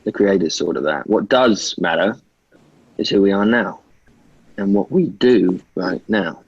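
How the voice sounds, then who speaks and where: An actor playing a serious, loyal young friend in a table read, husky and measured. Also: male voice, low-pitched, no room sound, full character voice. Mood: resolute. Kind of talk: actor's table read over video call. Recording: dull, dry video call audio.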